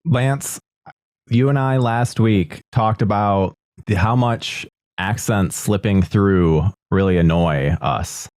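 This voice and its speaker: A man's voice: on the low side, takes it slowly, darkish tone, no echo, studio quality. A man in casual podcast talk, blunt and profane about movie accents.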